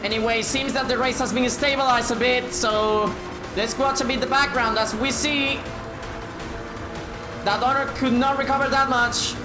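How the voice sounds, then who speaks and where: A high-voiced woman calls a sim race with a strained, sore throat, swearing freely and shouting at the action. Her voice rings in an echoey room. Music plays under her. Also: fairly even intonation, normal speed. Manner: swears a lot, shouts at big moments, drops to a low tone to save her voice. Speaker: race commentator. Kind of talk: excited sim racing commentary. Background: background music.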